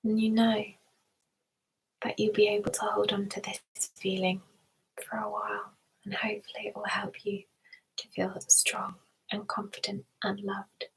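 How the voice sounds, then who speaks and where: A woman softly guiding a meditation in an ASMR style, mostly in a breathy whisper, soothing and gentle, often repeating words. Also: mid-range voice, completely flat delivery, normal speed, poor audio. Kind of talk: ASMR guided meditation roleplay.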